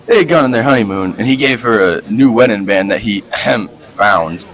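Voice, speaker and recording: A man's voice: fairly low pitch, animated, normal speed, fairly bright tone, poor audio. A man acting a reverend with a hoodlum past in an amateur radio play, talking in street slang and folksy storytelling. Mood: confiding.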